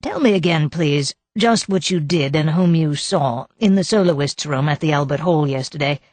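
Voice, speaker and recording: A woman voicing a calm, methodical male chief inspector who questions in a measured, probing way. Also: low voice, dry recording.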